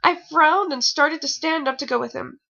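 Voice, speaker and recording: A woman's voice: sits high, moderate pace, gravelly, pushed and bright, highs cut off. A young adult woman giving a mocking amateur fan fiction reading with rambling, exasperated, theatrical asides, sounding a bit tired. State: tired, sore nose.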